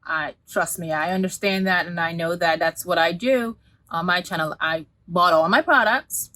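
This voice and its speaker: A woman's voice: lowish voice, moderate pace, pushed and bright, dry recording. A woman, talking casually to camera as a beauty vlogger, rambling with many restarts and fillers. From Guyana.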